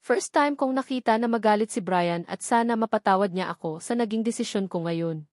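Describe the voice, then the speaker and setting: Female Filipino narrator for serialized romance audiobook, medium pitch, dry recording. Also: brisk, a little mellow, no room sound. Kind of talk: dramatic scripted audiobook narration.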